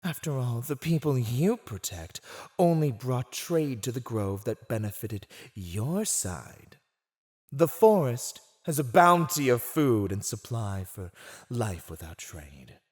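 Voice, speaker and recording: A man's voice: mid-range voice, big pitch swings, slow pace, darkish tone. A man playing a silver-tongued villain in an ASMR roleplay, condescending, theatrical and seductively persuasive as he teases a captive. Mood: scornful, disdainful. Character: silver-tongued, teasing male villain gloating over a captive. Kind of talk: scripted ASMR roleplay acting.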